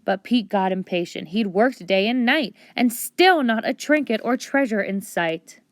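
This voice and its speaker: A woman reading a storybook aloud with expressive children's narration. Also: on the high side, measured pace, no echo, studio quality. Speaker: storybook reader.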